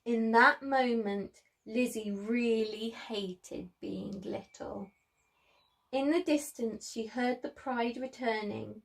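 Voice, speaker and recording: A woman speaking to young children as a preschool storyteller, with expressive emphasis and simple phrasing. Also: a little high, vocal fry.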